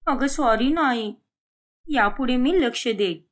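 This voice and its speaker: A woman playing a cheeky, whiny young adult daughter in an animated moral story, complaining and protesting. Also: high voice, a little slow. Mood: placating.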